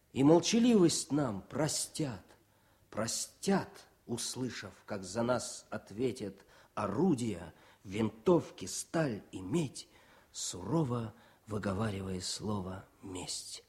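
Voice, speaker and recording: A young wartime soldier-poet in a radio play, grieving and intense. His pitch rises and falls dramatically as he speaks with solemn, declamatory passion and a hunger for revenge. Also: a little mellow. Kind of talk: Soviet radio drama acting with verse declamation.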